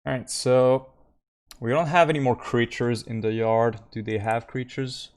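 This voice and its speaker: A man's voice: mid-range voice, steady tone, measured pace. A man from Quebec with a French accent, streaming a live card game and thinking aloud through his plays, with frequent fillers and easygoing asides to chat. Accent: Québécois French. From Quebec, Canada. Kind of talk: live gameplay commentary.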